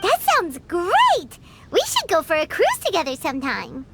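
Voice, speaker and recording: A woman's voice: extremely high pitch, wildly swooping pitch, normal speed, background noise, full character voice. A woman voicing a tiny, childlike fairy companion in a video game, cheeky and curious, with a perky, cutesy way of talking. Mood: eager. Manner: perky, cutesy, speaks of herself by name. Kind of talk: video game character acting.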